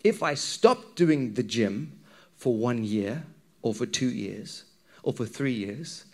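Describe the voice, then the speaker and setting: A man's voice: medium pitch, animated, slow, darkish tone. A man with a Zimbabwean accent preaching a sermon to a congregation in a conversational, questioning manner. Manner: conversational, engages listeners with questions and anecdotes.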